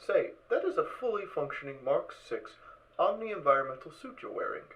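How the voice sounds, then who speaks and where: A man playing a curious, chatty robot watcher in a fan audio drama, with animated, inquisitive delivery. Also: fairly high pitch, brisk, poor audio. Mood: curious, friendly. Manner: animated, inquisitive, hesitant phrasing.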